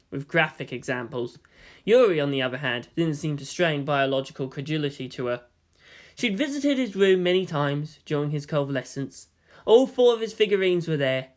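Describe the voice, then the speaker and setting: A narrator giving a scripted, dramatic reading of anime fan fiction. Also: medium pitch. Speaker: audiobook narrator.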